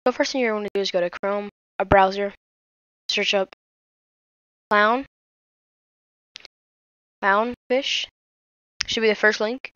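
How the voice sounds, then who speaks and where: A woman's voice: mid-range voice, fairly even intonation, slow pace, pauses often, a bit bright, smooth, no echo, dull audio. A woman hosting a tech tutorial, talking casually as she walks through steps on a screen. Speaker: host of a tech tutorial channel.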